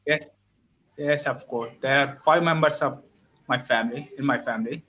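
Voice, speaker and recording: A young man from India, speaking natively and practicing in a halting, hesitant way on a video call. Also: mid-range voice, bright, forceful tone. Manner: hesitant, halting learner English with frequent laughs. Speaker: English learner, channel host. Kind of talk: casual conversation practice. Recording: faint background noise.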